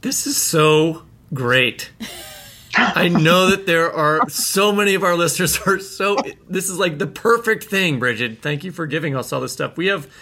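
A man co-hosting a literary podcast and talking with a guest in an animated, emphatic way. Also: on the high side, moderate pace. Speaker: podcast co-host. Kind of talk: interview conversation.

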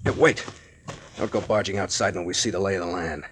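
An actor playing a calm, commanding male captain and detective in a 1940s radio drama. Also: deep voice, fast, a little hiss or hum.

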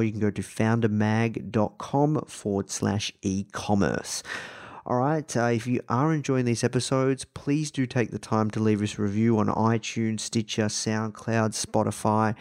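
A man's voice: lowish voice, a little mellow. A man hosting a podcast in a casual, informal way, repeating words for emphasis. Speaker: podcast host interviewing a guest. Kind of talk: casual podcast intro and interview.

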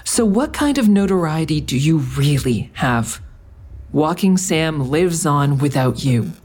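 A woman's voice: low voice, sing-song, a little slow, darkish tone, husky, exaggerated voice. A woman playing a grim, confrontational investigator in an audio drama, questioning in a terse, dry, needling way. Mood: challenging. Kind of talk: audio drama acting with narration.

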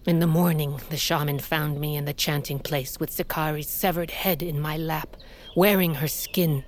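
An actress playing a proud, hardened older queen and mother of a warrior tribe, speaking gravely and with command in an audio drama. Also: low voice, steady tone, moderate pace, a little hiss or hum, close to an everyday voice. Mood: grim, boastful. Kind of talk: audio fiction drama acting.

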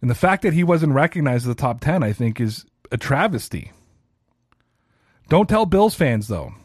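A man's voice: medium pitch, very animated, moderate pace, no echo, clean studio sound. A man in his thirties hosting a solo sports podcast, talking casually and ranting with a self-deprecating streak. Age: 30s. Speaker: podcast host.

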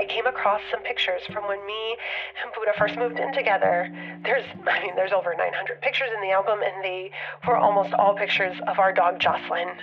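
A woman's voice: mid-range voice, close to an everyday voice. An actress with an American accent playing an anxious, grieving woman leaving a hesitant, rambling, confessional voicemail in an audio drama. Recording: muffled lo-fi phone message with music behind.

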